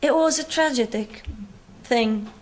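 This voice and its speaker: A young woman with a Palestinian British accent, giving an earnest talk on her own experience, often pausing with fillers. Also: high-pitched. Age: young adult. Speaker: guest speaker. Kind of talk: university talk from personal experience.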